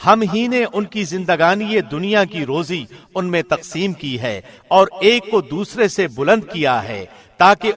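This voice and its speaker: A man reading a scripture translation from a script in a formal, measured announcer's delivery. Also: high voice, fairly bright tone. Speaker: translation reader, radio host.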